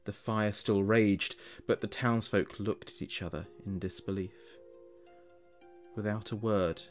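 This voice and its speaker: Male narrator for horror audio: low register, very slow and ominous, dramatic scripted read, soft music bed. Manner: measured, dramatic, ominous delivery. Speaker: horror storyteller.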